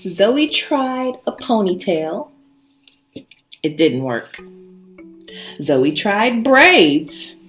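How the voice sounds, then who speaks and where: A woman reading a children's story aloud in an expressive, emphatic way, pausing for drama between short phrases. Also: medium pitch. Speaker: storybook reader. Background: background music.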